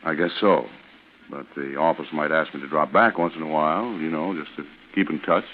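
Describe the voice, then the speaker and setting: A deep male voice on a thin, faintly noisy old radio recording. a small-town official asking polite, probing questions in a hesitant, matter-of-fact way, as in a vintage suspense show. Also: fairly even intonation, a little fast, narrow, tinny audio. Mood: apologetic, polite.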